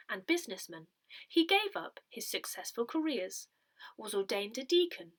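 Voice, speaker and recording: A woman reading a parish newsletter aloud from a script, in a measured, carefully enunciated way. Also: fairly high pitch, fairly bright tone. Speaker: newsletter reader.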